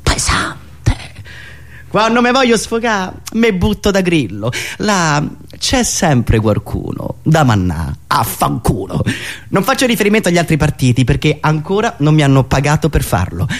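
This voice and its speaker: Male monologue performer, Roman accent, highish and very lively pitch, ironic, dramatic delivery. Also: moderate pace. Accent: Roman Italian. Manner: ironic, dramatic shifts between muttering and loud declaiming. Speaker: satirical monologue performer and radio show host. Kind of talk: theatrical satirical monologue.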